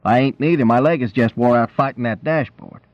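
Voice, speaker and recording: A man's voice: mid-range voice, brisk, lightly acted. A man voicing a wry, stubborn, cheerful country man who talks in a teasing backwoods dialect. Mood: wry, mock-indignant. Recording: roomy, dull lo-fi reading.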